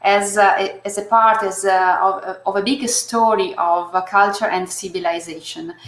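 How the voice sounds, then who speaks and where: A woman hosting an online artist talk, speaking haltingly with many fillers and restarts. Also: medium pitch, steady tone, fairly bright tone, roomy sound. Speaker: host moderating an artist talk.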